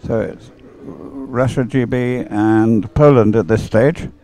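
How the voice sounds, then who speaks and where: A man's voice: mid-range voice, takes it slowly, dark tone. A man giving live, unscripted sports commentary on a race in an informal, hesitant way, as if thinking aloud.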